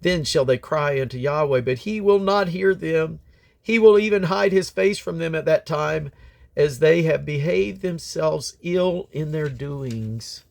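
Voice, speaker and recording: A man's voice: a little high, creaky, a little mellow. Man reading scripture aloud. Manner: halting over difficult names, stumbles and self-corrects. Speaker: Bible reader. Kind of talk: scripture reading aloud from the King James Bible.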